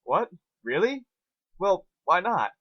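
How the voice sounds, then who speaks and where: Man voicing a grouchy, bellowing pony mare, raised pitch. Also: big pitch swings, slow, no echo, lo-fi sound, exaggerated voice. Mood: exasperated, bossy. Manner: bellowing, exasperated outbursts. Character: grouchy, bored, foul-mouthed female pony mage, ranting.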